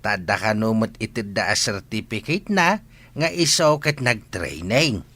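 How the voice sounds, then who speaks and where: Man acting an expressive old village grandfather. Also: lowish voice, unhurried, exaggerated voice. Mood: matter-of-fact, amiable. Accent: northern Philippine. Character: elderly village grandfather, polite and concerned. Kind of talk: village radio comedy-drama acting.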